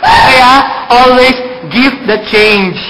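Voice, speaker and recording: Jokey puppet played by a performer, Filipino accent, unhurried delivery, repeats punchlines, roomy sound. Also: mid-range voice. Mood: playful. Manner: teasing, repeats punchlines and explains them. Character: jokey puppet sending mangled-word greetings to a friend. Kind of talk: playful puppet show greeting, casual. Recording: roomy echo, faint noise.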